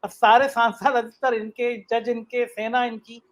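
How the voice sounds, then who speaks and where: A man's voice: very high voice, little pitch movement, measured pace. A man hosting a political talk show, putting pointed, ironic questions in a conversational way. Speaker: host, debate moderator.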